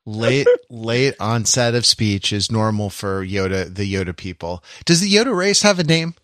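A man co-hosting a podcast in casual chat, halting and repeating phrases as he rephrases. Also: fairly low pitch, animated, fairly bright tone, no echo, clean studio sound. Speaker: podcast host.

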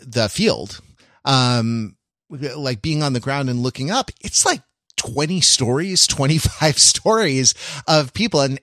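A man's voice: mid-range voice, fairly bright tone, no room sound, clean studio sound. A man co-hosting a podcast in casual conversation, often searching for words and correcting himself. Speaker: podcast co-host. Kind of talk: casual chat.

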